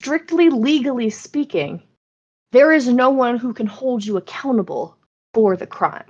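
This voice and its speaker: An American woman hosting a podcast, explaining things in an informal, animated, sweary way. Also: a little high, unhurried, highs cut off. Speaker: podcast host. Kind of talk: casual explanatory podcast chat.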